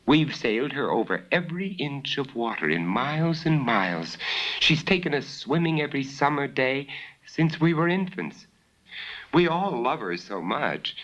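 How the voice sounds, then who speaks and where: Man voicing a wistful, higher-pitched young woman. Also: a bit bright. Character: thoughtful, affectionate young woman. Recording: dull, muffled lo-fi recording.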